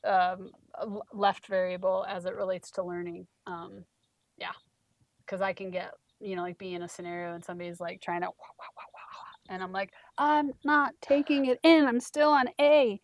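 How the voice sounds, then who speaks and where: A woman with a mid-pitched voice hosts a reflective conversation. She talks informally, as in a casual one-on-one chat. The recording is dry, with little room sound. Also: fairly even intonation, moderate pace, no room sound. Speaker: conversation host.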